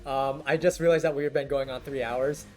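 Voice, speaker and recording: A young man streaming a game, chatting to viewers in a halting, rambling way full of fillers, his voice scratchy and worn down from talking for hours. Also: medium pitch, steady tone, darkish tone, faint music behind. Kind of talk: casual live-stream chat with viewers.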